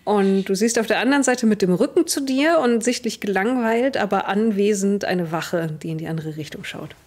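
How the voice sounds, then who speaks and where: A woman's voice: medium pitch, moderate pace, a little mellow. A young woman, improvising narration as game master of a tabletop role-playing game. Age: young adult. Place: indoors at home, on a video call.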